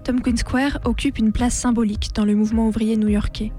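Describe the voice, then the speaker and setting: Mellow, even-voiced woman narrating. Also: a little high, brisk, faint music behind. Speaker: narrator of a radio documentary. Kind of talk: scripted documentary narration.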